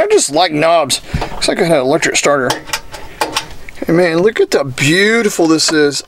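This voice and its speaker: Guy vlogging a walkthrough, kinda high voice, super into it, folksy and slangy, handheld cam. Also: a bit bright. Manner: enthusiastic, folksy, slangy exclamations. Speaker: vlogger giving a vehicle walkthrough. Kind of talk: casual, off-the-cuff tour commentary. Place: mostly inside a small cluttered motorhome.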